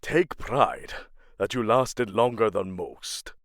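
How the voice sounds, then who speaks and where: A man's voice: lowish voice, lively intonation, slow, fairly bright tone, slight background noise, heavily acted. A man playing a commanding, bloodthirsty Norse god-king in a fantasy audio drama, taunting in formal, archaic phrasing.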